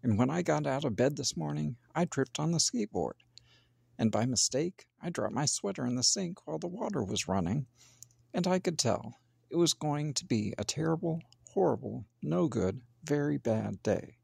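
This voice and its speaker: Gravelly, animated storybook narrator. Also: medium pitch, no room sound, clean studio sound. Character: grumpy young boy narrating his bad day. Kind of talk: expressive children's storybook read-aloud.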